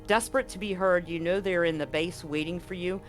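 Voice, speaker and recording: A man's voice: sits high, fairly even intonation, a little fast, fairly bright tone, soft background music. A man as game master of a tabletop roleplaying game, explaining rules and recapping in a chatty way with frequent ums.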